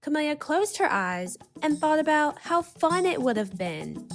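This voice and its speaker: A woman reading a children's book aloud as expressive, rhythmic, dramatic storybook narration. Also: high voice, measured pace. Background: music.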